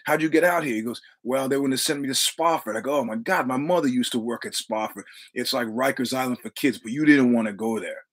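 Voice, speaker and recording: A man's voice: medium pitch, fast, few pauses, fairly bright tone. A man in his sixties from New York City with a New York accent, telling rambling, profane anecdotes with quoted dialogue as a guest in casual conversation. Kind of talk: casual storytelling.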